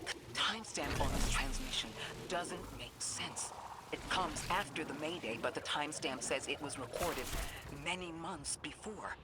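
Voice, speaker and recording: A man's voice: a little high, normal speed, heavy background noise, lightly acted. A man voicing a composed, analytical mission support officer in a video game, speaking formally and precisely. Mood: analytical, puzzled. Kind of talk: scripted video game acting.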